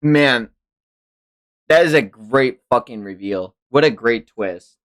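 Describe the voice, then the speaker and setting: Streamer, mid pitch, slow pace, casual and sweary live read-along reactions. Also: expressive. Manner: sweary, unguarded. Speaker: streamer reading manga aloud.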